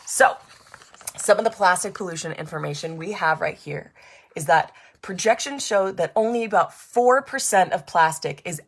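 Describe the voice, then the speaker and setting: A woman reading and explaining a storybook for children in an expressive, animated way, speaking directly to young listeners. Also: medium pitch.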